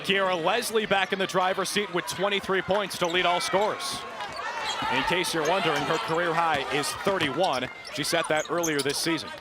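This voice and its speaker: A young American man in his twenties doing live sports play-by-play and show openings in a polished, energetic announcer delivery. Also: fairly high pitch, moderate pace. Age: 20s. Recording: noisy sports venue ambience.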